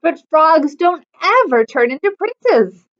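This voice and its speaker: A woman's voice: sits high, lively intonation, takes it slowly, muffled recording, voice pushed up. A woman voicing a sensible, skeptical young storybook princess in an insistent, matter-of-fact way.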